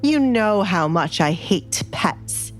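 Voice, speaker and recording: A woman's voice: medium pitch, lively intonation, slow pace, faint music behind. A woman voicing a haughty, controlling noble mother, strict and scheming, in an audiobook drama. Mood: stern, defensive.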